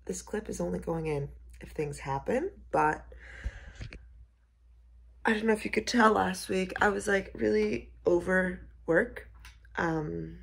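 A woman in her twenties with a slightly hoarse voice, chatting casually in a vlog with frequent asides, recorded on a phone indoors. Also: on the low side, a little slow. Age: 20s. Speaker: vlogger. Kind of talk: casual vlog chat to camera. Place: small living room indoors, on a couch. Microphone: phone at arm's length.

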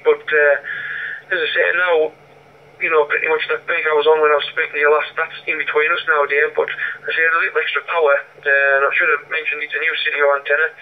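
Male radio operator over a thin, tinny link, monotone, rambling and informal. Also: on the high side. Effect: two-way digital radio. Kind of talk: casual radio chat. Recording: tinny band-limited radio transmission through speaker.

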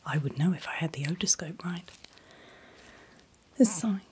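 A middle-aged woman speaking in a gentle, breathy whisper in short soft phrases, as a doctor in an ASMR roleplay. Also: fairly low pitch, normal speed, slight background noise. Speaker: ASMR performer playing a doctor.

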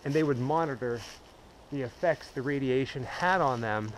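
A young man casually talking to a handheld camera while exploring woods and dark concrete ruins, swearing now and then. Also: mid-range voice, normal speed. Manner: chatty, swears when startled. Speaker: urban explorer vlogger. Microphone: handheld action camera. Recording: noisy outdoor camera audio.

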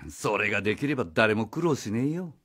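A man's voice: fairly low pitch, animated, brisk, fairly bright tone, husky. A man playing a blunt, cynical needler in a radio drama, arguing with sarcasm. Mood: mocking.

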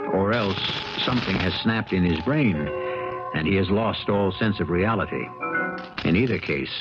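A man narrating a scripted radio mystery as host, in a measured, dramatic manner. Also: lowish voice. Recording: dull 1970s radio recording. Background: music.

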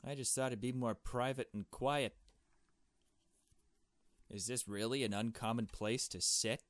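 A man voicing a shy, unsure, soft-hearted young woman in a visual novel, with a hesitant, questioning manner. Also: mid-range voice, steady tone, measured pace, no echo, close to an everyday voice. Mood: awkward.

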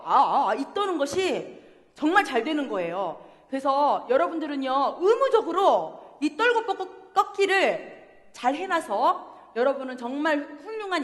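A woman in her thirties with a Jeolla accent, a pansori singer leading a playful lesson in a university hall, laughing often and coaching the audience. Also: a little high. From Jeolla, Korea. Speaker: pansori singer teaching a class. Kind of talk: lively workshop lesson with sung demonstrations. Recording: long hall reverb from a concert hall.

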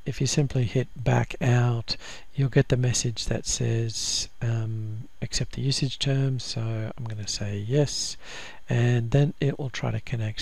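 A man walking through a software install in a casual screen-recorded tutorial, with frequent ums and restarts. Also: medium pitch, no echo. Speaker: software trainer.

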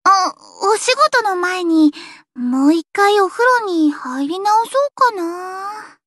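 High, bright theatrical teenage anime girl. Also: animated, slow, no room sound, exaggerated voice. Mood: shy, bashful. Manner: switches between pompous archaic proclamations and bashful plain girlish speech. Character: theatrical teenage idol girl, grandiose dark-fantasy poser, shy underneath. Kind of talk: anime mobile game voice lines.

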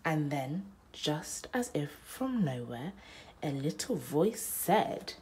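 A young woman reads a bedtime story aloud in a lowish, mellow voice. She takes her time with deliberate, expressive phrasing for a child listener. There is faint noise behind her. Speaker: mother reading a picture book aloud.

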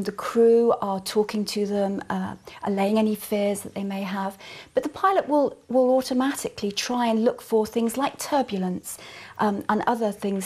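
A woman's voice: fairly high pitch, a little mellow. A middle-aged woman airline manager answering interview questions in fluent corporate style, with many ums. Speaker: airline commercial manager, guest. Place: small TV studio set.

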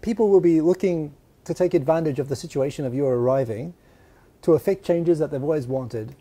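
A middle-aged man hosting a casual studio interview, lively and joking, on a lapel mic. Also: a little high, breathy edge. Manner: lively, joking, quick asides. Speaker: host. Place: small studio set, seated on a sofa.

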